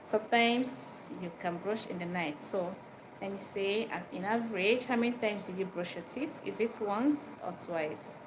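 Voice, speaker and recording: Nigerian woman livestreaming over clear background noise. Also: mid-range voice, moderate pace. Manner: repeats phrases and puts questions directly to listeners. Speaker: livestream host giving dental health advice. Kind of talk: informal livestream talk, chatting with viewers. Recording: noisy live stream with background ambience.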